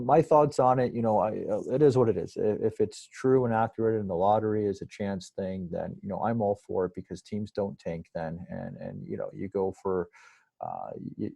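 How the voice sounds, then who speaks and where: A man's voice: lowish voice, gravelly, warm tone, slightly rough, dry recording. A man giving a casual, rambling sports interview as a guest broadcaster, in a hesitant, conversational way.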